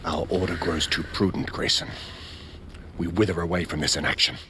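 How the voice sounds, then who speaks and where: An actor playing a defiant, impatient veteran Victorian knight in a game drama, speaking formally and with passion. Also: male voice, low-pitched, little pitch movement, a little fast, lightly acted. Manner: formal, forceful, impassioned. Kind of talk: dramatic video game acting. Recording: clear background noise.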